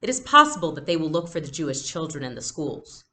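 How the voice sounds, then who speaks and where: A woman's voice: sits low, very animated, fast, pushed and bright, heavily acted. Earnest protective father read by a woman. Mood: earnest, firm. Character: protective, decisive father in wartime storybook.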